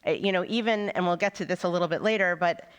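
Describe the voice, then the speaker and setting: Smooth-voiced rabbi asking questions. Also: medium pitch. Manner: searching, halting with fillers. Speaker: rabbi, interviewer. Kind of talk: interview questions on stage. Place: synagogue hall, live audience.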